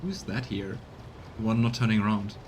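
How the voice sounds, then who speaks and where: A man's voice: lowish voice, moderate pace, slight background noise. A man casually commentating on a video game, musing aloud and chatting with his viewers as he plays. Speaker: streamer doing a voiced let's play.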